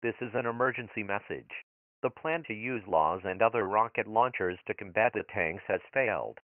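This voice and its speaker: A man voicing an impersonal emergency broadcast announcer, reading formal scripted alerts in a flat, official manner through a radio filter. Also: on the low side, normal speed. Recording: tinny phone-like emergency broadcast.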